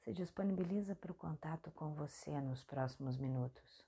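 Low, husky, creaky Brazilian woman guiding meditation. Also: a little fast. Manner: soft, breathy, soothing delivery with short phrases. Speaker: guided meditation podcast host. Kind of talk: guided meditation narration.

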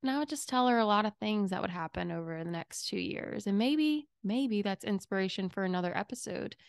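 A young adult woman hosting her own podcast, talking casually and emotionally with a slightly raspy voice, rambling with many fillers. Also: lively intonation, fairly quick, no echo. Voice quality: raspy, low. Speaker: podcast host. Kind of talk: reflective solo podcast chat. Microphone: studio microphone.

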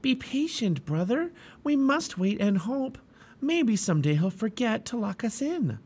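A man voicing a young boy pilgrim in a storybook reading, soft and high. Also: very animated, unhurried, breathy edge, exaggerated voice. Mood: encouraging.

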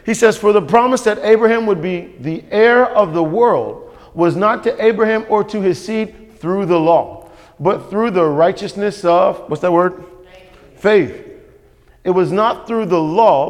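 A man's voice: high-pitched, measured pace. A man preaching a sermon as pastor, emphatic and repetitive, calling for responses from the congregation. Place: church sanctuary. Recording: long hall reverb.

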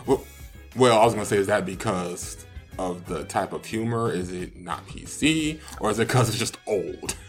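A man's voice: on the low side, normal speed, soft background music. A man co-hosting a podcast in loose, casual conversation. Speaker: podcast co-host. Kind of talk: casual chat.

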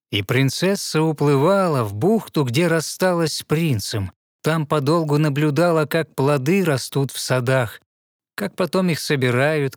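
A man narrating a fairy tale in verse for an audio play, with measured, rhythmic recitation. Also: on the high side.

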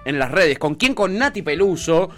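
A man's voice: a little high, sing-song, normal speed, fairly bright tone, soft background music. A man with a Rioplatense Spanish accent co-hosting a casual chat show, campy and mocking. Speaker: co-host.

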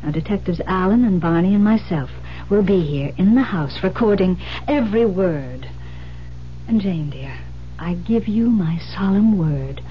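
A woman playing a composed, determined confidante in an old-time radio thriller, earnest, deliberate and reassuring. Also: on the low side, expressive. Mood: earnest, insistent. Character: Iris, composed, determined woman coaching a frightened friend. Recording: muffled old radio recording with noise, hum.

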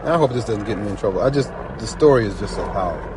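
A man talking casually and reflectively as a guest storyteller, earnest and halting, often repeating phrases for emphasis. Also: fairly low pitch, expressive, background noise. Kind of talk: casual, reflective personal talk.

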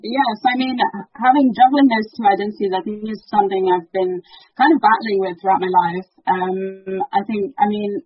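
A young woman talks as a podcast guest, filling her sentences with ums and restarts. Her voice is slightly husky and sits in a middle register, and the recording is dry and dull like lo-fi call audio. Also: medium pitch, no room sound. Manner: chatty, many ums and restarts. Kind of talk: casual podcast interview.

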